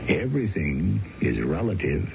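An actor playing the wry, literate host of a radio mystery drama, narrating in a theatrical, deliberate way. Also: male voice, low-pitched, big pitch swings, vocal fry, heavily acted. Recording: muffled 1970s radio broadcast recording. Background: prominent music.

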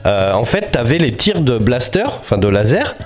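Lively French man with a cold. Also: mid-range voice, moderate pace, faint music behind. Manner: lively, rambling, slangy. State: has a cold. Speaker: podcast co-host. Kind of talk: casual chat about films and cartoons.

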